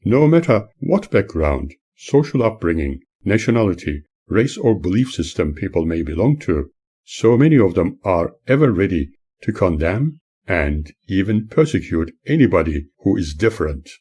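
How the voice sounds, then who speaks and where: A man with a very low, husky voice reads prepared prose like an audiobook. His tone is dark and mellow. He is earnest and oratorical, moving through each phrase in a measured way. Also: sing-song. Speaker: author reading own book. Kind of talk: scripted narration, audiobook-like reading.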